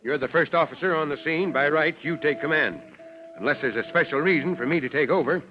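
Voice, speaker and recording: An actor playing a brisk, easygoing senior fire chief with plain-spoken authority in an old-time radio drama. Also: male voice, on the high side, music in the background, close to an everyday voice. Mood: matter-of-fact. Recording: dull, faintly noisy old radio recording.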